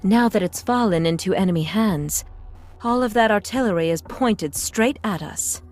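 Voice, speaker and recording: An actress playing a calm, knowing, regal queen who commands in a video game, with poised, unhurried delivery. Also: mid-range voice, close to an everyday voice. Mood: calm explaining. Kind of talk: English video game dub acting. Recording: music behind the voice.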